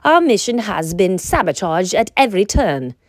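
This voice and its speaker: Storybook turkey, female reader, excitable, determined, gobbling, grumbly, lively pitch, dry recording. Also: moderate pace, dry recording. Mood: grumbling, thwarted. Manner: exclaims in gobbles. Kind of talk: children's storybook character voice.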